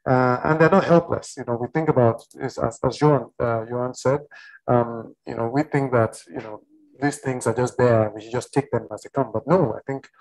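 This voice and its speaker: A man's voice: medium pitch, moderate pace, a little mellow, poor audio. A man, a Yoruba speaker, talking as a panelist on a video call, earnest and hesitant, repeating words as he thinks. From Nigeria. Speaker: panelist, writer and research fellow. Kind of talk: casual panel discussion.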